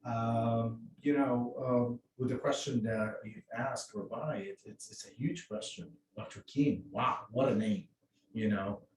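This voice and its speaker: A middle-aged man from Egypt speaking on a panel in English, with many halting restarts and fillers. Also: medium pitch, darkish tone. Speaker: mosque outreach volunteer. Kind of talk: panel discussion. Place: event room. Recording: roomy distant sound with faint noise.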